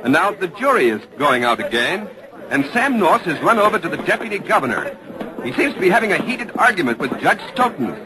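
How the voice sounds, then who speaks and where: An actor playing an earnest radio news reporter, giving dramatic, urgent and articulate live commentary in 1940s style. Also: male voice, sits high, sing-song, brisk, rough recording. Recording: old radio broadcast with crowd murmur.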